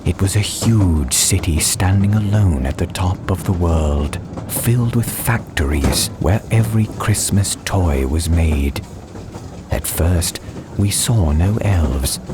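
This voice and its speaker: A man reading a dramatized storybook as an audiobook narrator, with measured, deliberate phrasing. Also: deep voice. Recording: clear ambience and train noise behind. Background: soundscape of train and ambience.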